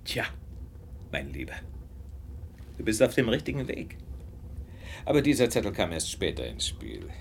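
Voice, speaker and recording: An actor playing a shrewd, self-assured detective recounting a case in a radio drama, knowing and measured. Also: male voice, low voice, wildly swooping pitch, creaky, slight background noise, exaggerated voice. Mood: knowing, composed.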